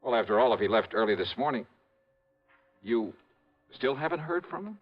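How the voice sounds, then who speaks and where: A man's voice: mid-range voice, expressive, normal speed, natural voice. A man playing a breezy, wisecracking insurance investigator in 1950s radio drama style. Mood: teasing, reassuring. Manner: breezy, wisecracking, folksy slang. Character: breezy, teasing male freelance insurance investigator. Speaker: lead actor and narrator of a detective serial. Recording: muffled vintage radio recording with music.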